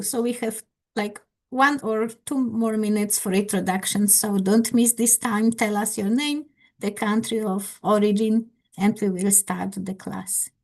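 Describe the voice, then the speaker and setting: Encouraging woman teacher on a video call. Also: on the high side. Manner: friendly, encouraging, with hesitant fillers. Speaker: English teacher hosting an online class. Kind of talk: online class hosting.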